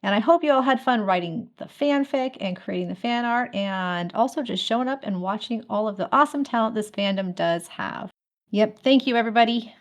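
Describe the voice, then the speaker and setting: A woman's voice: a little high, a little fast. A woman hosting a fan event, announcing casually in a chatty way and laughing often. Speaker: host of an online fan event.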